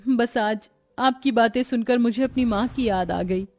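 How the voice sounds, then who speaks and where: A young, hardworking daughter-in-law in a domestic storybook tale, played by a female narrator. She speaks earnestly and politely in a slightly high voice over soft music. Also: measured pace. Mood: warm, grateful. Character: dutiful, overworked young Indian daughter-in-law.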